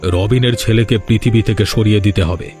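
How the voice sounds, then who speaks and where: A man's voice: lowish voice, fairly quick, a little mellow, soft background music, close to an everyday voice. Man voicing an angry, ruthless village father. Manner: menacing, insistent. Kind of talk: cartoon voice acting.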